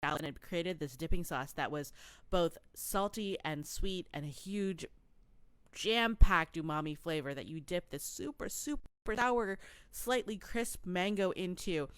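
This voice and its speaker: A woman with a notably low voice, chatting as a podcast co-host. She tells stories in an animated, rambling way, full of "um" and "like". The sound is dry and close. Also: measured pace, clear, resonant tone, no echo. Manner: chatty, animated storytelling with many fillers. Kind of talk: casual chat.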